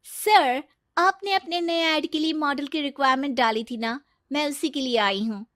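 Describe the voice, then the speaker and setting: Woman voicing high, coy young aspiring model. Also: moderate pace, a bit bright, no room sound, studio quality. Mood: eager. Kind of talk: Hindi cartoon story dialogue.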